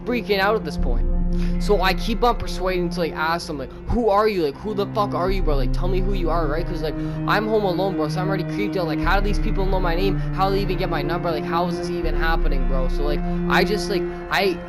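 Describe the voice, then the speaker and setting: A young man telling a casual story for a gaming video, in an animated, slangy way peppered with "bro" and "man". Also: high voice, fast talker. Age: young adult. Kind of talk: casual storytime over gameplay. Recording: music under the voice. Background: music.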